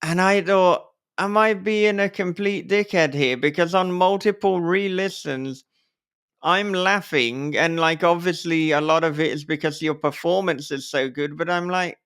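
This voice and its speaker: A man's voice: a little high, measured pace, fairly bright tone, clear, resonant tone. A man hosting a casual podcast conversation, talking effusively in long, wordy sentences with the odd casual swear word. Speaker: podcast host and author.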